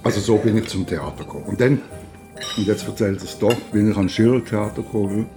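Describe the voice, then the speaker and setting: Deep-voiced older Swiss German man, music behind. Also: fast talker. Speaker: interviewer. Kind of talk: casual reminiscing conversation.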